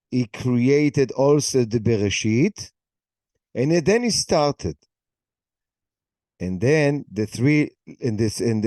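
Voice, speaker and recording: Slow, mellow-voiced man explaining scripture. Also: mid-range voice, no echo, clean studio sound. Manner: non-native English, halting. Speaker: participant in an online session. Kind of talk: explaining a Bible passage.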